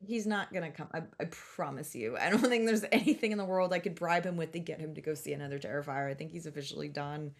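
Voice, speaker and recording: A woman hosting a casual podcast, talking in an enthusiastic, animated, slangy way with easy giggles and swearing. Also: low-pitched, fast talker, creaky, hardly any pauses. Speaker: podcast host.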